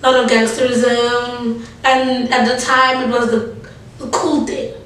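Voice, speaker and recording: A woman's voice: on the high side, level tone, measured pace, fairly bright tone. A young South African woman, a rapper, chatting casually in a loose, filler-heavy way as she tells her story. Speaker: rapper and guest. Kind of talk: casual conversation. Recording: roomy space, distant mic.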